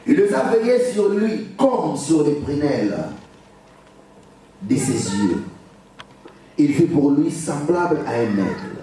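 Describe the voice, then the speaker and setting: A man preaching a church sermon in French with a Portuguese accent, exhorting the congregation and bursting into shouted emphasis. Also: mid-range voice, a little hiss or hum. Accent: Portuguese-speaker's French. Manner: exhorting, urging the congregation to answer louder. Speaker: guest preacher. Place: church service. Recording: very echoey church hall, mic at a distance.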